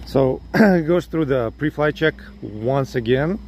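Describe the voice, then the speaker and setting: A man's voice: mid-range voice, animated, a little slow, darkish tone, a little hiss or hum. A man with a Ukrainian accent, losing his voice, chatting casually in a vlog from outdoors in a parking lot, thinking aloud with lots of fillers. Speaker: drone hobbyist vlogger.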